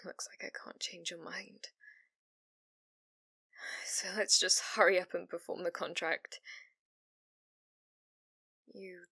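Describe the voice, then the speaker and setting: A possessive female fox spirit, acted by a woman for an ASMR roleplay. She speaks very slowly and intimately, often sinking into breathy whispers. The sound is dry and close, as if she is right at the microphone. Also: lowish voice, bright, forceful tone, dry recording, heavily acted. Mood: resigned, hurt. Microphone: close studio microphone, dry.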